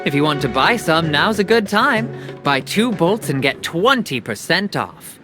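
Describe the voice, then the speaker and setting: Chatty game merchant, lively voice, salesman patter, game music behind. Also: fairly high pitch, a little slow. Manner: jovial, salesy, storytelling. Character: smooth-talking male merchant, jovial salesman. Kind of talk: video game voice acting. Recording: studio voice over game music.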